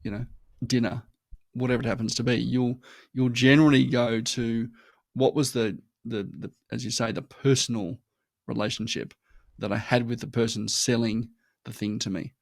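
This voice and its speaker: A man with an Australian accent, a guest on a marketing podcast who talks in a lively, anecdotal way. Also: medium pitch, normal speed, vocal fry, no echo. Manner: animated storytelling, acts out customer voices. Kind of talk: conversational interview.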